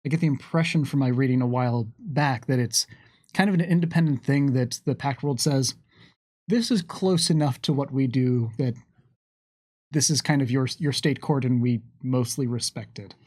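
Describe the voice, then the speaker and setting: A man's voice: medium pitch, moderate pace, a little mellow, no room sound. A man hosting a livestream, chatting casually through viewer questions in a wry, musing way with playful asides. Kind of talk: casual game lore Q&A chat.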